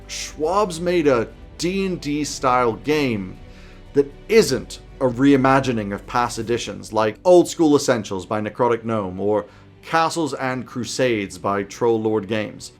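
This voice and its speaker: Emphatic man over background music. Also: mid-range voice. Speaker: tabletop roleplaying video host. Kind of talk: scripted commentary to camera. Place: small home office, indoors.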